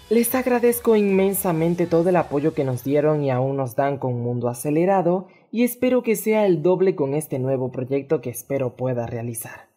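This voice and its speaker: A woman's voice: sits low, dark tone. A young adult woman narrating a scripted vlog addressed to fans, as a fandub creator. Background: background music.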